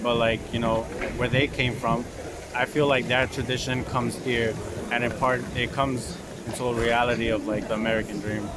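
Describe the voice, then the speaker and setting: A man's voice: medium pitch, little pitch movement, moderate pace. Young man from Mexico, rambling and casual, recorded outdoors with traffic noise. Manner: rambling, many "like" fillers. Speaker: interviewee. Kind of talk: casual street interview. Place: outdoors on a city street. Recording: street noise behind.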